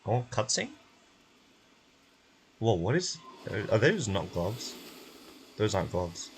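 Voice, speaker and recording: A man's voice: lowish voice, big pitch swings, slow pace, slight background noise. A man streaming a game, commenting casually as he plays. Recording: music behind the voice.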